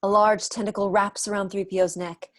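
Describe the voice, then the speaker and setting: A woman's voice: medium pitch, level tone, brisk, no echo, studio quality. A young woman reading stage directions as scripted narration for an online table read. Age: young adult. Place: room at home, on a video call.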